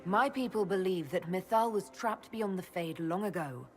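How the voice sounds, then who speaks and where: A woman playing an earnest elven heroine in a video game, calm, plain-spoken and questioning. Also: fairly low pitch, normal speed, faint music behind, lightly acted. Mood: skeptical. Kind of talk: video game cutscene acting.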